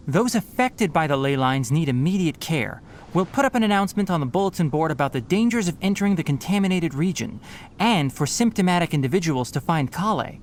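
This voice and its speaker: A young man voicing a calm, rational forest ranger and scholar in a studio game, explaining in a measured way and giving firm instructions. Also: fairly high pitch, slight background noise. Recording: game audio with faint music underneath.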